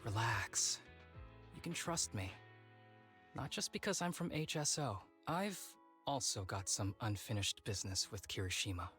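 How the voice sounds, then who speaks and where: A man's voice: fairly low pitch, very animated, moderate pace, a bit bright, faint music behind. A man voicing an easygoing, wry young male agent in a video game, with a casual, knowing manner. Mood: knowing, assured.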